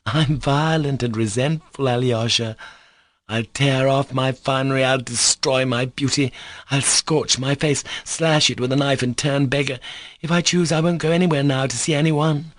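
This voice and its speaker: A man's voice: a little high, moderate pace, no room sound, pitched above the performer's own voice, close to an everyday voice. A man reading a classic novel aloud, voicing a passionate, volatile young woman with fierce, hysterical outbursts. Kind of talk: audiobook character reading.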